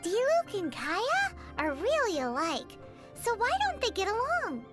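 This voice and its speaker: An extremely high, squeaky little fairy chirps through video game dialogue. The childlike companion is cheeky and emphatic, with very lively pitch swings, over clearly audible music. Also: female voice, measured pace, exaggerated voice. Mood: chatty, curious. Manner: refers to self in third person, emphatic.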